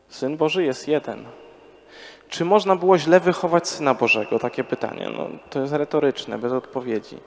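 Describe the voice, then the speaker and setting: A priest in his thirties gives a casual, conversational sermon and asks the congregation rhetorical questions. He speaks into a handheld mic, and his mid-pitched voice trails off into the long reverb of a large church. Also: slight background noise. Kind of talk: parish sermon. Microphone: handheld microphone.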